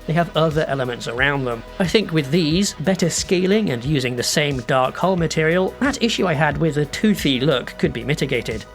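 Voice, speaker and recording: A man narrating a scripted making-of video as a digital artist, in a reflective, self-deprecating, conversational way. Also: on the high side.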